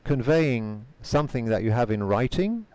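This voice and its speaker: A man whose first language is Polish answers interview questions carefully over a video call, pausing often with fillers. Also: mid-range voice, lively intonation, a little mellow, dry recording. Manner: measured, careful, frequent hesitation fillers. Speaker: guest, conference interpreter.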